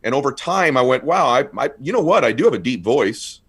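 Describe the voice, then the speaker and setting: A middle-aged man in casual conversation as a guest, telling stories with animated bursts of excitement. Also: breathy edge. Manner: animated, quick storyteller, rising to excited highs. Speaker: guest speaker.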